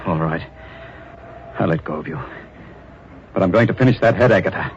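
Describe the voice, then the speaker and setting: An actor playing an obsessive, menacing sculptor in an old radio drama, intense and brooding. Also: male voice, low-pitched, fairly quick, a little hiss or hum, close to an everyday voice. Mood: insistent. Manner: intense, brooding, turns threatening. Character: obsessive, menacing male sculptor, middle-aged. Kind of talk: 1940s radio acting. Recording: dull old radio recording.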